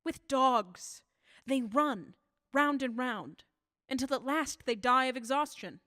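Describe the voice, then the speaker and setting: A woman acting in a radio drama as a bitter old scientist who invents deadly substances, with deliberate, formal, theatrical delivery. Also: high-pitched, lively intonation, sharp tone, no room sound, clean studio sound, full character voice. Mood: grimly insistent.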